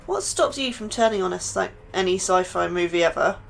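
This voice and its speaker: A woman's voice: on the low side, animated, brisk, gravelly, faint music behind. A woman playing an anxious, inquisitive new scientist in an audio drama, uneasy and questioning. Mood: wary curiosity. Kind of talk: audio fiction podcast acting.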